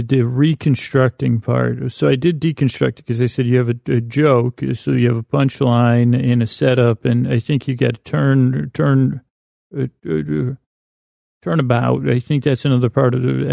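A man hosting a bedtime podcast with a rambling, absurd comic monologue, halting and often losing his thread, his words a bit slurred and mumbled. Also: medium pitch, steady tone, creaky, warm tone, no room sound, studio quality.

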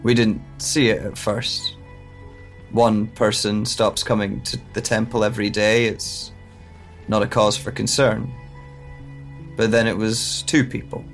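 Guy acting a guilt-ridden scholar who lost his memory, audio drama, really slow n halting, gravelly fry in the voice. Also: fairly low pitch, little pitch movement, faint music behind. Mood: somber, reflective. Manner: halting, deliberate short phrases. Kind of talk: dramatic first-person audio drama monologue.

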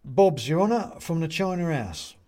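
A homely little male china figurine with a ghost inside, acted out by a male narrator. He speaks in a raised pitch with lively movement. His manner is deferential and earnest, as if addressing a superior in a storybook. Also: moderate pace. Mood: respectful. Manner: respectful, earnest petitioner.

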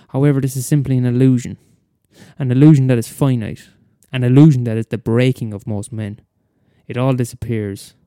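A man playing jaded middle-aged male teacher, wry confessional storytelling, mellow dark tone, very dry sound. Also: mid-range voice, smooth, dry recording, lightly acted. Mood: bleak, disillusioned. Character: jaded bitter middle-aged male schoolteacher, weary and cynical. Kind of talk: first-person dramatic monologue.

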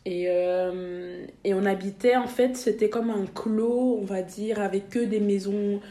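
A woman's voice: mid-range voice, steady tone, a little slow, mellow, slight background noise. Young woman, lots of euh and restarts, echoey room. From Belgium. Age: young adult. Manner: hesitant, many fillers and restarts. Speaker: podcast guest. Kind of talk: casual interview.

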